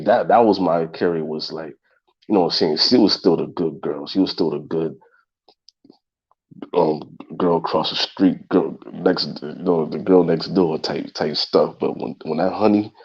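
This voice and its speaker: Very deep gravelly congested man. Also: a little slow, darkish tone. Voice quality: gravelly and husky. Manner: loose and repetitive, speaking straight to his listeners. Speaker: podcast host. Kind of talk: casual solo talk show. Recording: dull lo-fi sound.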